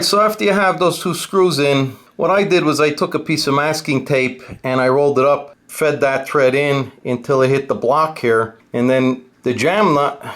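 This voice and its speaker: A man's voice: mid-range voice, fairly bright tone. A man narrating a casual do-it-yourself tutorial in a plainspoken, informal way, explaining things step by step. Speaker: host of a DIY guitar how-to video.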